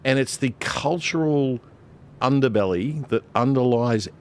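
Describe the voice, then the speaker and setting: A man's voice: medium pitch, unhurried. A middle-aged Australian man, a broadcaster on a discussion panel, talking emphatically with many fillers and restarts.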